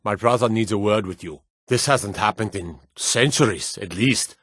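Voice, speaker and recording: A man's voice: lowish voice, normal speed, fairly bright tone, breathy edge, dry recording, studio quality. A man playing an earnest, superstitious Nord town guard and soldier in a fantasy video game, speaking in a plain, hardy way. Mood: awed.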